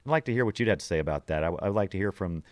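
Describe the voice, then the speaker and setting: Middle-aged man, low pitch, dry sound, podcast host, casual jokey rambling. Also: expressive, very fast, dry recording. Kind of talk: casual nostalgic chat.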